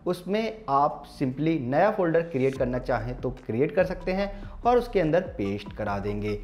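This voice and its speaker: An Indian man hosting a tech tutorial, speaking formally to viewers in an instructional, step-by-step way. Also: a little high. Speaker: tech channel host. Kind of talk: scripted-sounding phone tutorial narration.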